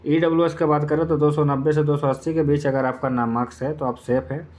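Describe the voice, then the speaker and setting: A man's voice: fairly high pitch, flat delivery, quick pace, a little mellow. A man gives an informal exam-prep explainer, going step by step with repeated points and filler phrases. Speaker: exam-prep YouTube presenter.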